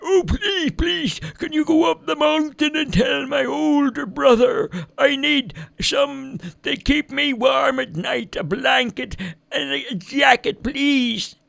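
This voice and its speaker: A man voicing an even older man who is cold, pleading in a high, reedy falsetto. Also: vocal fry, full character voice. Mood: begging. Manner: drawn-out, begging.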